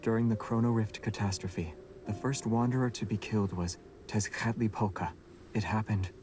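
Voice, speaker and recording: A man voicing a calm, mysterious young monster hunter in a video game, soft-spoken, terse and measured. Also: on the low side, background noise, close to an everyday voice. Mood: calm, guarded.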